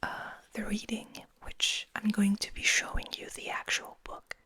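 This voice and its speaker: A woman hosting ASMR, speaking in a gentle, intimate, breathy whisper at an unhurried pace. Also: no room sound. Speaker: ASMR host. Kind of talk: soft-spoken whispered ASMR.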